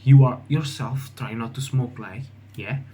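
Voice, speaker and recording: A young man from Indonesia with an Indonesian accent, speaking English as a second language in a casual, halting monologue full of fillers and restarts. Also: medium pitch, warm tone, husky. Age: young adult. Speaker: vlogger.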